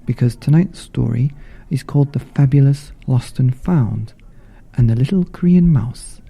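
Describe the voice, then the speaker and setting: Very mellow, husky man reading bedtime stories. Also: medium pitch, a little slow. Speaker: podcast narrator. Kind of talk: bedtime story narration.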